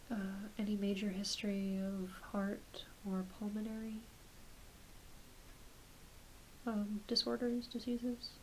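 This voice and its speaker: A woman voicing a gentle, reassuring doctor in a soft, mostly whispered ASMR medical roleplay, murmuring encouragement. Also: medium pitch, slight background noise, lightly acted, rough recording. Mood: conversational, attentive. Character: gentle, reassuring werewolf doctor giving an exam. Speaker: ASMR performer.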